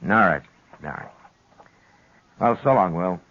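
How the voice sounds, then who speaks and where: An actor playing a steady, weary, authoritative American frontier marshal in a 1950s radio western, measured and laconic. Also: male voice, deep voice, full character voice. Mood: concerned. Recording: dull lo-fi old radio recording.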